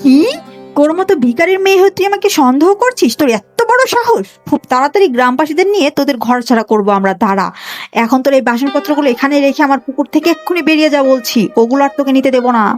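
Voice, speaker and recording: A woman's voice: high voice, lively intonation, very fast, faint music behind. A woman voicing a cruel, haughty village auntie in a cartoon, scolding with contempt and threats. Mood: scornful, outraged. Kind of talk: Bengali cartoon moral-story voice acting.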